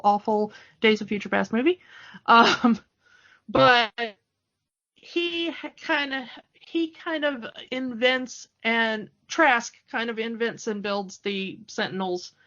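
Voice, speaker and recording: A woman's voice: on the high side, pushed and bright, lo-fi sound. A woman co-hosting a podcast, chatting casually through a recap with a fan's wry asides. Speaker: podcast co-host. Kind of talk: casual chat recapping a TV cartoon.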